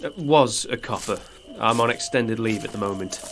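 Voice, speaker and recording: A man's voice: on the low side, normal speed, fairly bright tone, a little hiss or hum. A man playing an awkward, defensive policeman on leave in an audio drama, stammering and easily flustered.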